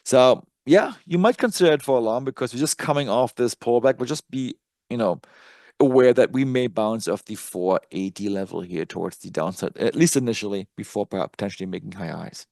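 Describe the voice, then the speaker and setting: A man's voice: medium pitch, brisk, creaky, a little mellow, dry recording, clean studio sound. A native German-speaking man presenting casual live stock chart commentary, conversational and thinking aloud as he works. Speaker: trading analyst and presenter.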